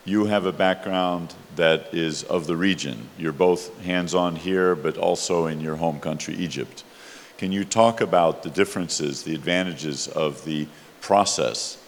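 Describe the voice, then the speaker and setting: A man moderating a panel, affirming points and posing questions to the speakers. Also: deep voice. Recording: echoey hall, faint background noise.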